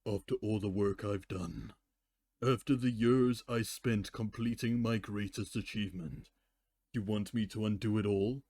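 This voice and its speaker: A man's voice: fairly low pitch, fairly even intonation, a little slow, dark tone, no room sound. A stubborn, mocking pony mare, as a man reads her in a storybook style. The delivery is flippant and dismissive, with a dry, close sound. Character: sarcastic, dismissive, stubborn young female pony. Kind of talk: dramatic fan fiction reading.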